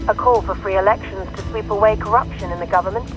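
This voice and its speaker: A man's voice: high voice, fairly even intonation, fast, music in the background, natural voice, low-quality recording. An actor playing a grave, formal male news reporter reading a scripted report in a video game.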